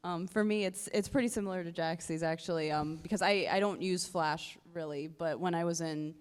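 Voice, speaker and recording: Woman rambling a bit, lowish smooth voice, echoey room. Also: a little fast. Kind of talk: casual group chat.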